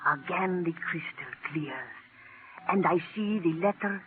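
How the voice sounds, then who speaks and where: An actress playing an old fortune teller who speaks solemnly and haltingly in a 1940s radio drama. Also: female voice, lowish voice, noisy background, lightly acted. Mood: mysterious, solemn. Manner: slow, halting, solemn pronouncements. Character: old fortune teller woman, grave, mysterious, crystal gazer. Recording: muffled, narrow-band vintage 1940s radio recording.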